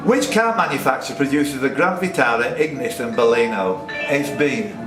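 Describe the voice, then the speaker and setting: Animated male quizmaster in an echoey room. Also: mid-range voice, normal speed, far from the mic. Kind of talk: reading quiz questions with casual banter. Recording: echoey room, off-mic, music behind.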